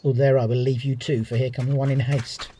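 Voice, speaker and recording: An actor playing a witty, teasing soldier lord in love, in a Shakespeare radio play, with playful, expressive delivery. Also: male voice, medium pitch, fairly quick, a little hiss or hum, natural voice. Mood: playful, amorous.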